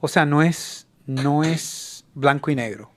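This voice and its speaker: A man's voice: a little high, takes it slowly, fairly bright tone. A middle-aged man from New York with Cuban parents, a guest on a radio show explaining industrial real estate with many fillers. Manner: hesitant, lots of fillers. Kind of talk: radio interview.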